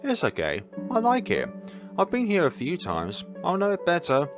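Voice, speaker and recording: Man voicing a gentle, hesitant blind schoolgirl, lifted pitch. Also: wildly swooping pitch, moderate pace, over music, heavily acted. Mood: shy, earnest. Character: gentle, shy blind schoolgirl, soft-spoken and earnest.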